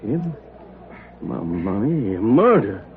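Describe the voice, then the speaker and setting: An actor playing an excitable Italian immigrant produce dealer with halting, emphatic broken English in an old radio drama. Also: male voice, lowish voice, slight background noise, heavily acted. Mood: alarmed. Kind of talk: 1950s radio drama acting. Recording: muffled narrow-band old radio recording.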